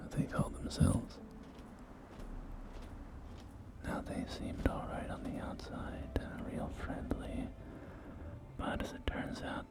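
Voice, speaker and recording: A man playing a folksy post-apocalyptic real estate agent in a whispered ASMR roleplay, with gentle, hesitant, reassuring sales patter. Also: lowish voice, vocal fry, exaggerated voice. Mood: confiding. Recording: close whisper over soft music.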